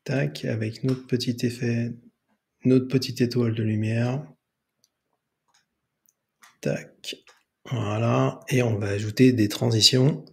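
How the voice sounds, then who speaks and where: A man's voice: medium pitch, steady tone, slow pace, creaky, pauses often, darkish tone. A man hosting an improvised screen tutorial, hesitantly narrating each step aloud. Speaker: tutorial host.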